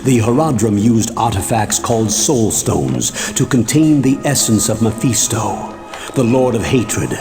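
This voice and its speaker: Slow, solemn man acting as dark fantasy chronicler. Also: medium pitch, close to an everyday voice. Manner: grave, measured, archaic and ominous. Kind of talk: scripted dark fantasy game narration.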